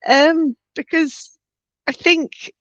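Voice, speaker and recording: Woman hosting a chat, highish voice, loose phrasing, bit of a muffled lo-fi mic. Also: fairly even intonation, slow, a bit bright, no echo. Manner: loose phrasing with frequent "kind of like". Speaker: interview host. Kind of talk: casual conversational interview. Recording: dull, slightly lo-fi close microphone.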